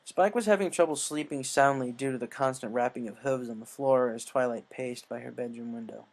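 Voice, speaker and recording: A man reading a scripted story as narrator, in a measured way with occasional stumbles. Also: mid-range voice, a little mellow. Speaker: story reader.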